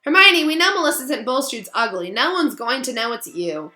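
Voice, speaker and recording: A woman voicing a blunt, excitable schoolboy wizard sidekick, full of exclamations, in a storybook reading. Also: high-pitched, a little fast, voice pushed up. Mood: impatient. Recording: roomy echo, faint music behind.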